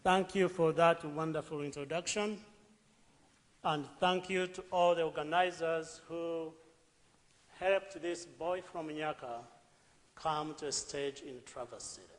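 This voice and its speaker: A middle-aged man with a Ugandan accent speaks earnestly and deliberately to an audience. His voice sits fairly high, and it rises in emphatic bursts. A long reverb from a big hall hangs around every word. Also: steady tone. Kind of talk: public talk.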